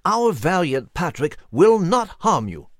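A man's voice: very high voice, very animated, slow pace, no echo, studio quality, voice pushed up, heavily acted. A man voicing a jolly, genteel mouse with a pompous, hearty, old-fashioned manner in a storybook. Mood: reassuring.

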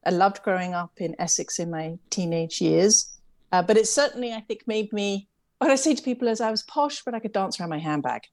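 A middle-aged woman from England with an English accent softened by years in America. She talks in a chatty, reflective way and laughs lightly at herself as she tells her story in an interview. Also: medium pitch, animated, a little fast. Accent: English, with some American influence. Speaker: interview guest, camp CEO. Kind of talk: conversational interview.

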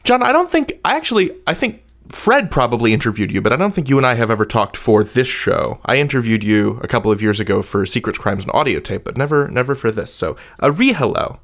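A man's voice: medium pitch, big pitch swings, fast, fairly bright tone. A man hosting a podcast, articulate and wordy, moving between scripted intros and conversational interviewing.

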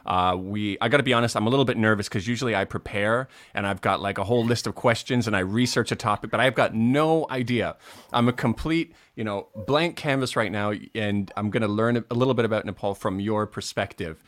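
A man hosting a casual chat over a video call. His voice sits on the low side and sounds dry and close, with no room around it. He talks in a loose, hesitant way, restarting his sentences often. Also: a little fast, no echo. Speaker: host.

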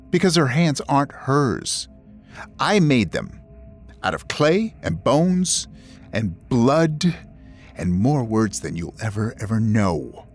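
Menacing old family patriarch, low n slow, cold and accusing, audio drama with music under. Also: male voice, full character voice. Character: stern, possessive, menacing older patriarch in a dream.

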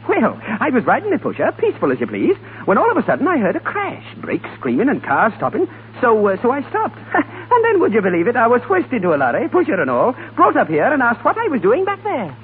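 An actor playing a resolute Irish rebel leader with an Irish accent in a 1930s radio drama. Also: male voice, very high voice, huge pitch swings, quick pace, hardly any pauses, full character voice. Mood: innocent, chatty. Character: resolute, principled Irish rebel leader, quick to play innocent. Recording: tinny, noisy 1930s radio recording.

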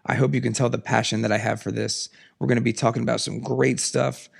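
A man hosting a solo podcast, raspy from a cold, talking in an earnest, emphatic, passionate way. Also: flat delivery, quick pace. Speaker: podcast host. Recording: lower audio quality.

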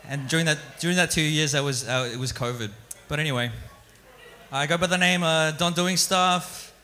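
A man's voice: a little high, normal speed, sharp tone. A young man doing a live stand-up set on a stage mic, self-deprecating and loose, stumbling and restarting as he goes. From Philippines, raised in Australia. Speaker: stand-up comedian. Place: live venue with an audience. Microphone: stage microphone.

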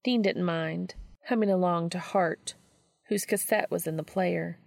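A woman's voice: on the low side, steady tone, a little slow, vocal fry, darkish tone, no room sound, studio quality. A woman reading a story aloud as scripted audiobook narration. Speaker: fan fiction audiobook reader.

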